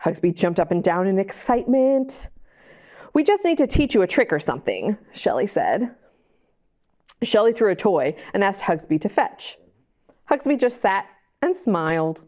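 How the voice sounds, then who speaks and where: A woman hosting storytime, reading a picture book to young children in an animated way, with chatty questions and asides to the listeners. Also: mid-range voice, moderate pace. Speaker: library storytime host and reader.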